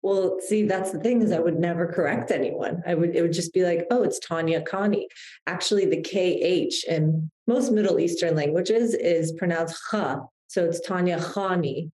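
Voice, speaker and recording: A woman in her thirties talks in a reflective, heartfelt way, often restarting her sentences. Her voice is mellow and sits a little low. The room around her echoes noticeably. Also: moderate pace. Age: 30s. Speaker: guest, publicist. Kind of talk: podcast interview.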